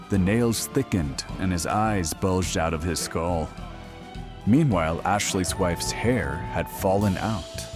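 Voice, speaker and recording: Very deep-voiced, ominous radio horror host. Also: male voice. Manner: measured, wry, ominous. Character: eerie late-night horror radio host, ominously playful. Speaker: late-night radio host. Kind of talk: scripted horror radio narration.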